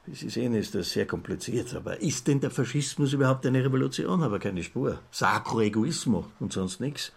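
An actor playing a garrulous Viennese newspaper editor and amateur philosopher in a radio drama, lecturing pompously in an Austrian accent with a husky voice. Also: male voice, medium pitch, animated, fairly quick, few pauses. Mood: scornful, lecturing. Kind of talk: literary radio drama dialogue.

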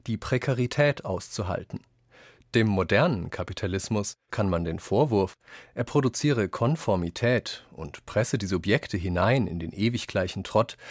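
A man reads scripted quotations in a radio essay, in a measured, deliberate and articulate manner. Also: fairly low pitch, no room sound, studio quality.

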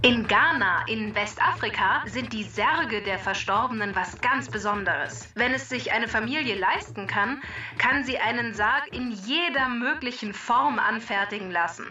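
A female machine helper explains things to children in a podcast. The voice is fairly high and bright, measured and clearly phrased, like prepared narration. Soft music runs behind it. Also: far from the mic, muffled recording. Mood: informative, upbeat. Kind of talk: scripted educational narration.

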